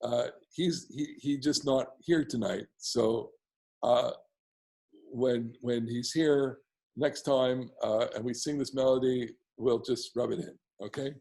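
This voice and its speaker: A man with a baritone bass voice teaching a casual class on prayer melodies from home, rambling with many restarts and asides. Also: steady tone, takes it slowly, warm tone.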